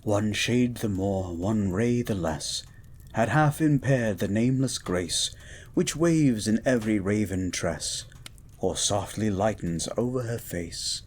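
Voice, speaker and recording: A low-voiced, courtly beast of science played by a man speaks slowly in a romantic audio roleplay. The phrasing is eloquent, theatrical and tender, like a learned gentleman's. Faint noise sits behind the voice.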